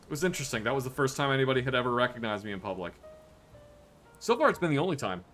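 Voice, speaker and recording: Casting: young male streamer, joking and chatty, lively swings in pitch, mid-range voice. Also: very fast, faint music behind. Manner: joking, chatty, animated reactions. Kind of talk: casual live-stream chat while gaming.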